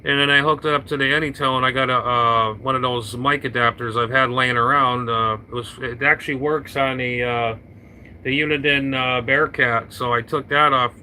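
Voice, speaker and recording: A man chatting casually over his radio, rambling and halting with lots of fillers and restarts, talking into an old hand mic. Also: medium pitch, steady tone, bright, forceful tone, slight background noise. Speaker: radio operator. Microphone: vintage hand mic on a radio.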